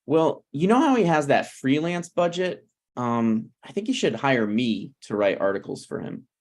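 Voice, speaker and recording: A man's voice: medium pitch, sing-song, moderate pace, darkish tone, close to an everyday voice. A man playing an awkward, meddling friend in a sitcom table read, hesitant and apologetic yet blunt. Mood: sheepish. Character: awkward, opinionated, meddling male friend of a married couple. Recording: online video call table read.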